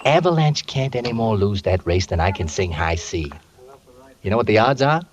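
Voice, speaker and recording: An actor playing a cocky, wheedling racetrack tipster full of slang, in a 1940s radio drama. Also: male voice, on the low side, animated, moderate pace, close to an everyday voice. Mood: conspiratorial, hyped. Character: brash, slangy male racetrack tipster, fast-talking sponger. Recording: old radio recording, some noise.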